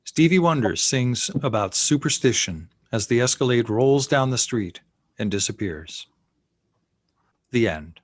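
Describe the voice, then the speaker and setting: A man with a mid-pitched voice reads stage directions from a screenplay. The sound is close and dry, and the delivery is scripted narration. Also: expressive, a little slow, no room sound.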